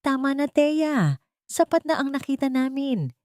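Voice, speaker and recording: A woman voicing a cold, controlling husband who speaks flatly, with no emotion. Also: high voice, measured pace, no room sound, clean studio sound, voice pushed up. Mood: harsh. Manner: flat, emotionless.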